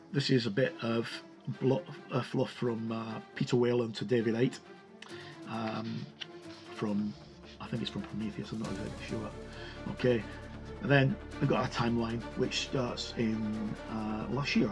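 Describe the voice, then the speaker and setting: A man giving a casual book walkthrough as a game reviewer, moving between reading aloud and halting, informal commentary. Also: lowish voice. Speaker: tabletop roleplaying game reviewer.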